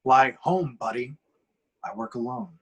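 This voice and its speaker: A man playing a gruff, confident superhero, stern and dry, with a husky voice and clipped delivery in a script read. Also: a little high, sing-song, unhurried, heavily acted. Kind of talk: online table read of a film script.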